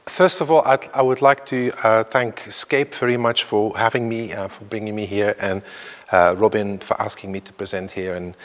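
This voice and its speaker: A Belgian man in his fifties giving a tentative, self-deprecating conference talk with many fillers and restarts. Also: fairly low pitch. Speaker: academic speaker. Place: lecture hall. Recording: reverberant hall.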